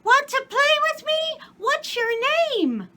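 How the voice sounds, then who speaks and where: A woman voicing a chatty, eager little girl in a storybook reading, with a childlike, excitable delivery. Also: extremely high pitch, slow pace, slight background noise, pitched above the performer's own voice, exaggerated voice. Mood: chatty, knowing.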